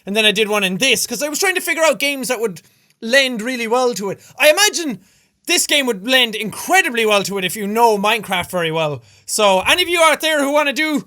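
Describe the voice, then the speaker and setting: An Irish man with a very high, bright voice, riffing through casual reaction commentary as a gaming creator. He bursts into loud exclamations and swears casually. His delivery is upbeat and punchy. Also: sing-song, a little fast. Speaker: gaming YouTuber.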